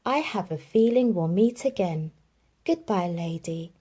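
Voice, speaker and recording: Confident scruffy male stray dog, easygoing and mellow, voiced by a woman reader. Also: fairly low pitch, animated, unhurried, slightly rough. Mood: knowing. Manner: easygoing and self-assured.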